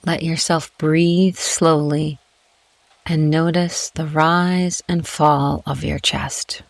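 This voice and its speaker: A woman guiding a meditation in a gentle, calm, unhurried way with long pauses between phrases. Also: low voice, gravelly, dry recording, poor audio. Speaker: guided meditation host. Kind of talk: soothing guided meditation narration.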